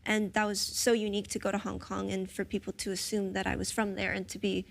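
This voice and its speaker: Gravelly-voiced American actress. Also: female voice, medium pitch, little pitch movement, quick pace. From United States. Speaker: actress guest. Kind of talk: roundtable conversation.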